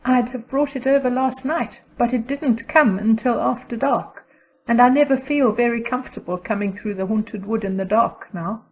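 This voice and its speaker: A woman's voice: fairly high pitch, moderate pace, natural voice, poor audio. A woman playing a practical, chatty, loyal teenage girl with a matter-of-fact, gossipy manner in an audio drama. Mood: chatty, pleased.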